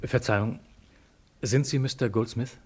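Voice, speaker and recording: An actor playing a troubled, guilt-burdened widower in a 1950s radio drama, speaking in a grave, formal, confessional way. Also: male voice, mid-range voice, moderate pace, darkish tone, a little hiss or hum, natural voice. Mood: reserved, polite. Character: troubled, guilt-burdened widower confiding his forbidden love.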